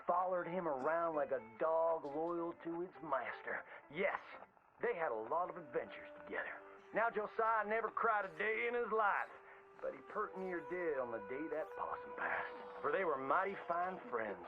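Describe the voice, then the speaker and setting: Game role: boastful old-West Southern showman-storyteller, high theatrical drawl, loud music behind. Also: expressive, normal speed, lo-fi sound. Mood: folksy, boastful. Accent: American Southern, old frontier drawl. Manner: hammy, folksy, exaggerated storyteller delivery. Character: folksy theatrical frontier showman spinning boastful tall tales. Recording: band-limited old-radio sound with prominent music.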